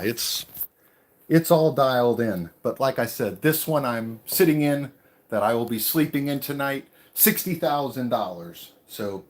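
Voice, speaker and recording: Give me a relaxed man from California with a faint Texas twang, answering viewer questions casually on a live stream. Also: medium pitch. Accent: slight Texas twang. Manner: easygoing, chatty, many fillers, reads viewer questions aloud. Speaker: travel vlogger. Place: inside a small tiny house. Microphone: camera held for a livestream.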